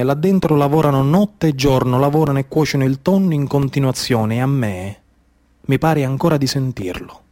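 A man with a Sicilian accent performing a theatrical storytelling monologue in a rhythmic, incantatory manner, with repeated phrases and dramatic pauses. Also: medium pitch, a little mellow. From Sicily, Trapani area. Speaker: actor and storyteller.

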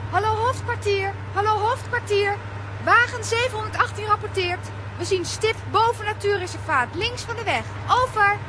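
A woman's voice: very high voice, normal speed, background noise. An actress playing a plucky, eager young girl adventurer in a children's radio play. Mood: eager, determined.

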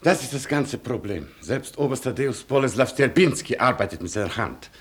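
An actor playing a haughty Polish colonel in a German radio play, indignant and blustering. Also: male voice, medium pitch, expressive, fairly quick, close to an everyday voice.

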